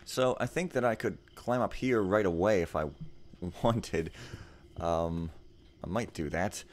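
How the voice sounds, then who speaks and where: A man's voice: low-pitched, a little slow, soft background music. A man hosting a let's play, chatting casually over gameplay in a rambling, joking, laid-back way.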